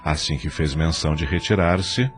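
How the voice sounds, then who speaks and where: Male narrator, Brazilian accent, deep register, dramatic scripted read over light background music. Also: normal speed. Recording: music under the voice.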